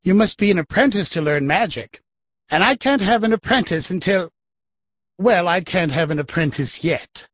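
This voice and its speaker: A man playing a gentle, eager, bumbling novice magician, earnest and friendly, in a children's audiobook. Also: high voice, lively intonation, moderate pace, dry recording. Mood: gentle, hopeful. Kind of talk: children's full-cast audiobook acting. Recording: dry, slightly dull audio.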